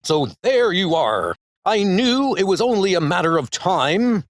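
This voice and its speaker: A man's voice: high voice, sing-song, measured pace, dry recording, clean studio sound. A man voicing a heroic, grave thunder god with lofty, formal, declamatory speech in a superhero comic dub. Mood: grave, sorrowful.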